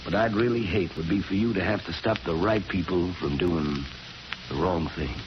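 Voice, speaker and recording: An actor plays a grave, earnest priest in a vintage radio drama. His low voice is direct and insistent, behind heavy broadcast noise. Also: moderate pace, lightly acted. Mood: firm, insistent. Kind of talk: 1940s radio drama acting. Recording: muffled, very noisy 1940s radio broadcast.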